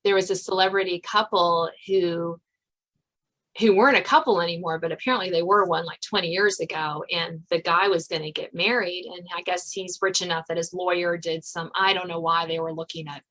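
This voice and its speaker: An American woman hosting a casual interview about writing, talking in an animated, conversational way. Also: on the low side, fairly quick, poor audio. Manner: animated, conversational, quotes others' reactions in dialogue. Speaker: podcast host and suspense novelist. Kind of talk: casual author interview chat.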